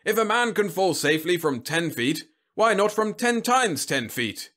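A man's voice: fairly high pitch, expressive, unhurried, fairly bright tone, pitched above the performer's own voice. A man voicing a big, overconfident, bullying army general in an audiobook story, speaking in an emphatic, blustering, snapping way. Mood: blustering, scornful. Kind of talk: audiobook character acting.

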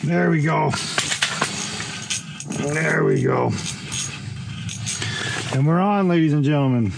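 A young man chatting excitedly to viewers while ice fishing, recorded inside a small tent on a body-worn camera. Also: on the high side, takes it slowly, vocal fry, heavy background noise. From Canada. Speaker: fishing vlogger. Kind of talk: casual first-person narration while fishing. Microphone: action camera worn on the body.

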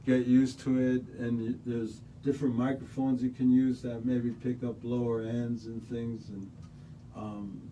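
A middle-aged man talking casually in a small radio studio, relaxed and rambling with many fillers. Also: mid-range voice, a little slow, slight background noise. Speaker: studio guest musician. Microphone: studio mic on stand, headphones on. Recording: slightly distant mic.

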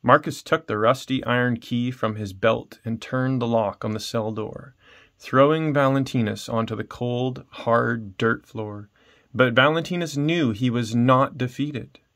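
A man in his thirties narrates a storybook. His voice has an ordinary middle pitch, and he reads aloud indoors as a storyteller. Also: measured pace, a bit bright. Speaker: storybook reader. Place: small room indoors at home.

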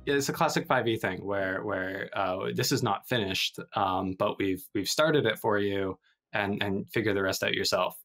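A man's voice: on the low side, moderate pace, a bit bright, faint music behind. A man talking casually as a panelist on a podcast. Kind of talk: casual chat.

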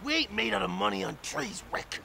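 A man voicing a dim slacker buddy with a creaky voice and bad grammar in an animated comedy. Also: sits high, brisk, a little hiss or hum, full character voice. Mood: dopey, protesting.

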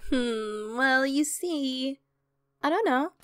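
A woman's voice: high voice, animated, takes it slowly, fairly bright tone, exaggerated voice. A woman playing a coy, friendly teenage schoolgirl in an audio drama.

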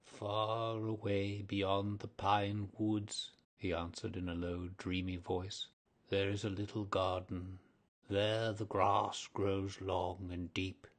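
A man voicing a lonely, weary old male ghost who talks meekly and longs for rest, with a husky, dreamy voice. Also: fairly low pitch, steady tone, a little slow. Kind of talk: audiobook drama reading.

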